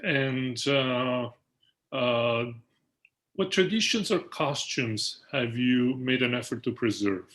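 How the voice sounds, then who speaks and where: A man's voice: mid-range voice, takes it slowly, a bit bright. A man from Florence, a native Italian speaker with an Italian accent, interviewing in a hesitant way full of "uh" fillers. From Florence, Italy. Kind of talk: casual conversational interview.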